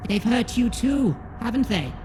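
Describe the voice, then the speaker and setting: A man voicing a grave, formal, pleading horned alien in an audiobook, with a soft, breathy delivery. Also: extremely high pitch, very animated, a little slow, music in the background, pitched above the performer's own voice, full character voice. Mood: gentle concern. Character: grave, desperate horned alien named Yoon seeking help. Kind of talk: audiobook character voice.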